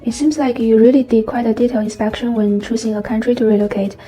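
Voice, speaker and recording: A woman's voice: a little high, fairly even intonation, quick pace, mellow. A woman hosting an interview, reading a polished, upbeat script. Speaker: interview host. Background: faint background music.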